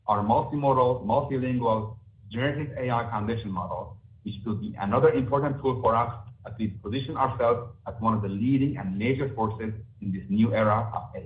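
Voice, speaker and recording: A man speaking as a company executive, formally reading prepared remarks for an earnings call. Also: medium pitch. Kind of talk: scripted earnings call presentation. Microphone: conference call line. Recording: roomy, noisy, phone-like conference line.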